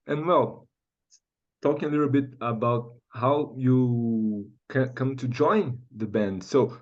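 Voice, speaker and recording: A man interviewing someone, asking questions haltingly as he searches for his words. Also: mid-range voice, darkish tone, highs cut off.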